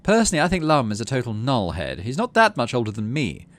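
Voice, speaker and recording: A man's voice: medium pitch, huge pitch swings, quick pace. A man voicing a scornful, self-important teenage girl with a sneering, haughty manner in a video game.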